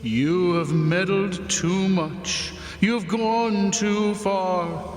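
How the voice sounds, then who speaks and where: An actor playing a solemn male spirit who leads a living man away, speaking slowly and gravely in a stage radio play. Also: high-pitched. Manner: slow, grave, commanding. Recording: roomy hall with reverb and music.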